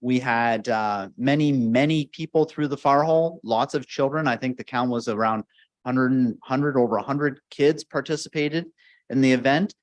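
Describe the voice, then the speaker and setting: A man's voice: medium pitch, normal speed, no room sound, low-quality recording. Earnest man giving a staff report. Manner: earnest, upbeat, repeats phrases. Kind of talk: staff report at council meeting. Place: council chamber.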